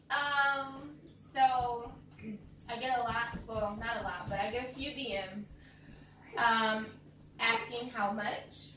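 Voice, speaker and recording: A woman co-host giving workplace etiquette tips in a casual chat, measured and hesitant with frequent ums. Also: on the high side, sharp tone. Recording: very echoey room, distant mic, clear hiss.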